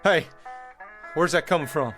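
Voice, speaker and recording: A man's voice: on the high side, a bit bright. Bewildered pioneer man, music behind. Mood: baffled, suspicious. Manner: stammering, halting, agitated. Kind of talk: radio drama acting. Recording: prominent music under the voice.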